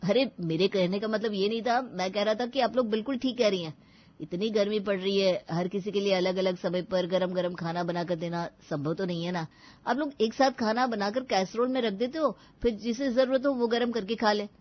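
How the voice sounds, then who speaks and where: Young husband character, played by a woman, evasive, placating, animated family story, dull lo-fi sound. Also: medium pitch, moderate pace, close to an everyday voice.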